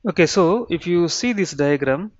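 A man with an Indian accent giving an explanatory software tutorial, repeating key phrases and checking in with "okay" and "right". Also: high-pitched, expressive, normal speed, no room sound. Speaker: software trainer. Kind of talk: explanatory screen-share tutorial. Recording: dull, muffled lo-fi sound.

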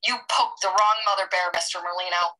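A woman's voice: on the low side, fairly even intonation, a little fast, bright, forceful tone, distant mic, slight background noise, rough recording. A woman delivering a prepared, indignant statement at a town meeting, full of rhetorical questions. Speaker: resident giving public comment. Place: town hall meeting room.

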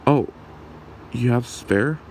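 A man's voice: medium pitch, slight background noise, natural voice, poor audio. A man playing a shy, awkward young man smitten with his friend, speaking hesitantly in an ASMR roleplay. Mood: shy, friendly. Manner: hesitant, halting, soft-spoken.

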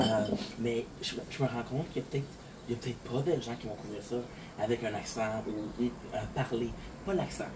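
Un homme de Montréal à l'accent québécois, un peu fatigué, qui parle de façon décousue en se répétant beaucoup. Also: male voice, fairly low pitch, noisy background. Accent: Québécois French. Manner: rambling, repeats words, frequent fillers. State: a little tired. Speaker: content creator. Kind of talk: casual talk to camera. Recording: distant voice with clear outdoor ambience.

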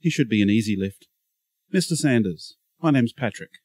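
A man's voice: mid-range voice, expressive, moderate pace, darkish tone, no echo, clean studio sound, natural voice. A man playing a friendly, practical ambulance medic in an audio drama, reassuring and businesslike.

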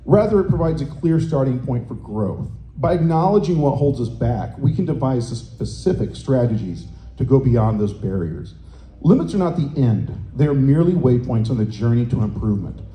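A middle-aged man giving a conference talk on a handheld microphone in a hotel meeting room, loud and booming, with many fillers and restarts. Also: expressive, normal speed. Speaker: conference presenter. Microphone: handheld microphone through room speakers. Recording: echoey conference room, faint noise.

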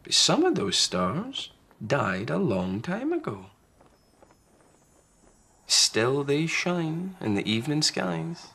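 A man's voice: fairly high pitch, huge pitch swings, takes it slowly, vocal fry, pauses often, a bit bright, husky, voice pushed up, full character voice. A man voicing a big, patient, loving parent fox in a storybook, tender and reassuring. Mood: soothing, loving.

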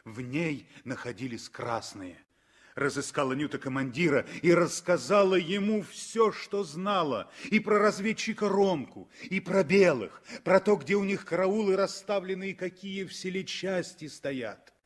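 An actor narrating a 1960s radio play as a solemn, measured and expressive storyteller. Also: male voice, high voice. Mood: dramatic.

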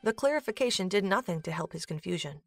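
A woman's voice: fairly low pitch, expressive, fast. A woman reading scripted narration for a fantasy audio drama. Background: music.

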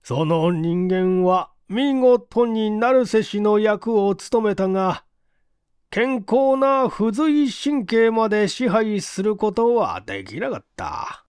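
A woman voicing a composed, courteous male detective chief in an audiobook, explaining in a deliberate, emphatic and formal way. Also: medium pitch, lively intonation, darkish tone, smooth, no echo, studio quality, pitched above the performer's own voice. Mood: grave, accusing. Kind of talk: storybook dialogue in audiobook reading.

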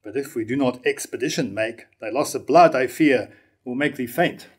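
An older noble warrior read by a man speaks formal stage verse. He is courteous and honourable, with the measured language of a classical play, at a mid-range pitch. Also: slightly rough, natural voice. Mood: reassuring. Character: courteous, noble male warrior comforting a wounded foe. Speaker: actor in a staged reading. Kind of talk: formal verse drama reading. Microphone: stand mic at lectern.

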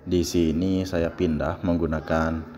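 A man's voice: deep voice, little pitch movement, unhurried, mellow. A young man talking a workout video through in a casual, explanatory way, recorded in an indoor gym by a camera across the room. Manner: chatty, explains training step by step. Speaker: gym vlogger. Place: indoor gym with fluorescent lights. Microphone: camera on the floor across the room. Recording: reverberant gym hall with faint hum.

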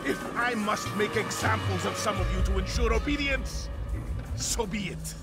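An actor playing a haughty, stern middle-aged lord and regent in a video game, speaking in a formal, imperious way. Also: male voice, sits high, moderate pace, lightly acted. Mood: stern, threatening. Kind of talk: dramatic video game acting. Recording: loud music under the voice.

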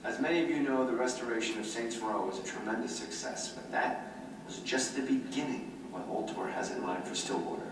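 An actor playing a smug, callous male corporate executive in a video game, pitching like a polished salesman. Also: a little fast, natural voice. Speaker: corporate executive giving a presentation. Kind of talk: scripted video game acting, stage presentation. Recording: echoey, distant, noisy game audio playback.

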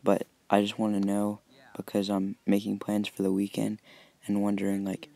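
A young man narrating his own biking vlog in a relaxed, rambling, apologetic way. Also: on the low side, moderate pace, gravelly. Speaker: vlogger. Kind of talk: casual voice-over narration.

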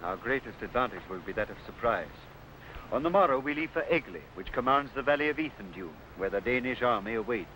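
A man's voice: mid-range voice, lively intonation, brisk, bright, forceful tone. An actor playing a grave, resolute medieval English warrior king, declaiming solemnly in 1950s television adventure style. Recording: noisy old 1950s film soundtrack.

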